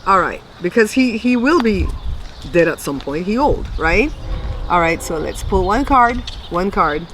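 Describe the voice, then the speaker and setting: A woman's voice: mid-range voice, animated, unhurried, a bit bright. Chatty middle-aged woman, noisy outdoor recording. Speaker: tarot reader and video host. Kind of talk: casual chatty tarot reading to camera.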